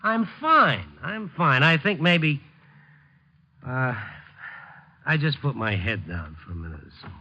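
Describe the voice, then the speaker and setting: An actor playing a sardonic, hard-boiled newspaper reporter who narrates and wisecracks drily in a 1950s radio drama. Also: male voice, medium pitch, sing-song, a little slow, long pauses, a little hiss or hum. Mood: woozy. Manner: dry wisecracking delivery. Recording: muffled old 1950s radio recording.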